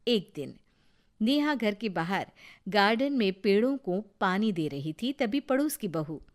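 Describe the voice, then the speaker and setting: Woman narrator, cartoon storybook, calm and even, mid pitch. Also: unhurried.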